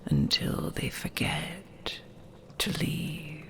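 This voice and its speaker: A woman's voice: sits low, wildly swooping pitch, extremely slow, a bit bright, heavily acted. A woman playing an ancient, possessive and tender she-dragon in an intimate audio roleplay, whispering soothingly with formal, old-fashioned phrasing. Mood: possessive, hypnotic. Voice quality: breathy, creaky whisper.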